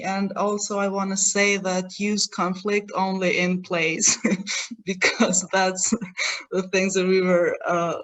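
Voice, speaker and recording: A woman's voice: on the low side, poor audio. A woman from Kosovo, a playwright, giving informal thanks on an online panel.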